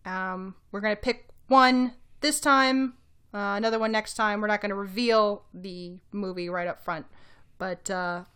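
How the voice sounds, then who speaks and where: A woman co-hosting a podcast, explaining things casually with dry, measured phrasing. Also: medium pitch, fairly even intonation, pushed and bright, smooth. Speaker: podcast co-host.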